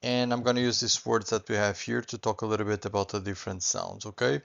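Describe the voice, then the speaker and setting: A man's voice: lowish voice, steady tone, fast talker, gravelly, fairly bright tone, dull audio. A Portuguese man with a Portuguese accent, teaching a beginners' language class in a patient, reassuring way that often repeats points and adds "okay?". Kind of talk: online language lesson.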